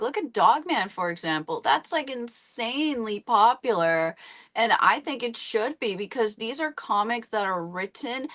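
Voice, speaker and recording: A middle-aged woman chatting casually as an interview guest, animated, chatty and a little self-deprecating. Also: mid-range voice. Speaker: interview guest, comic book artist.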